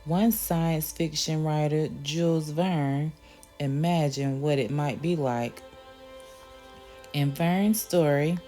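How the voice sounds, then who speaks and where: A woman reading a children's adventure story aloud in a careful, expressive storytelling manner, as the host of a reading channel. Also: deep voice.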